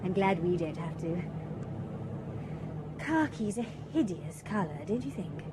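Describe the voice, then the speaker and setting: Shallow cheerful young woman for radio drama: bright, animated, noise behind. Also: lowish voice, a little slow. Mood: chatty. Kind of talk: BBC radio drama acting.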